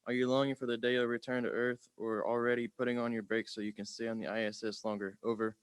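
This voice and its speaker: A man's voice: medium pitch, flat delivery, fast talker, a little hiss or hum. A male student radio operator asking prepared questions during a contact.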